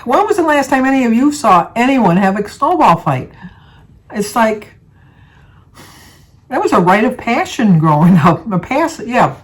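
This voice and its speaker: A woman's voice: on the high side, sing-song, measured pace, long pauses. A woman, chatting casually to her viewers and rambling through everyday stories. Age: 70s. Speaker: vlogger.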